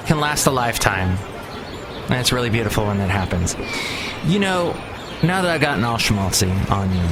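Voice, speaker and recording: A man's voice: medium pitch, noisy background. A man hosting his own podcast in casual solo talk, rambling and chatty with lots of fillers and restarts. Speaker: podcast host.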